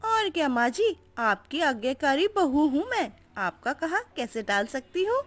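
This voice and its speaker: A woman's voice: high voice, sing-song, unhurried. A woman voicing a cheeky, stubborn young daughter-in-law in a cartoon story, talking in a sweet, girlish, wheedling way. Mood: sweetly stubborn.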